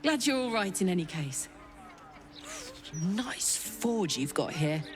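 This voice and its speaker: A tough game warrior at medium pitch. She speaks bluntly, keeping her frustration under the surface. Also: very animated, slow, faint music behind. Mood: frustrated, blunt. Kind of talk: video game dramatic acting.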